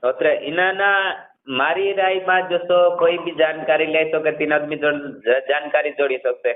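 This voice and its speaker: A man's voice: on the high side, fairly even intonation, normal speed. A man in a casual phone conversation, explaining things at length and repeating himself, on a narrowband call recording.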